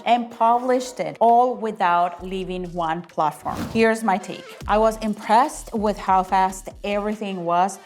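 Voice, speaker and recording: A woman's voice: lowish voice, darkish tone. Upbeat Colombian woman reviewing a tool. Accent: Colombian Spanish. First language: Spanish. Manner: upbeat, enthusiastic, conversational. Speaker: content creator reviewing a tool. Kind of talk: sponsored tool review narration.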